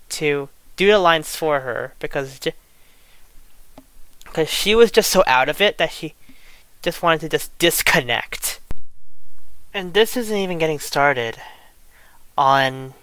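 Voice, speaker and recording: Halting, indignant speaker with a slow delivery. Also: medium pitch, lively intonation, a bit bright, smooth, no room sound. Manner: halting, repeats and restarts phrases often; indignant, exasperated. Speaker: online video creator venting grievances. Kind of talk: unscripted rant, commentary.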